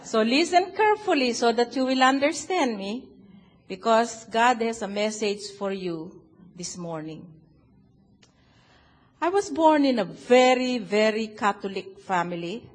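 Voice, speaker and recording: An older woman from the Philippines with a Filipino accent, giving a personal faith testimony to a church congregation, animated and joking. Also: fairly high pitch, measured pace, in a large hall. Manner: animated, joking, sings a hymn. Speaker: guest speaker.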